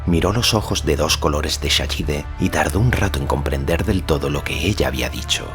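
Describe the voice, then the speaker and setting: A man's voice: a bass voice, fairly quick. A man narrating a fantasy audiobook in a scripted, dramatic literary storytelling style. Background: faint music.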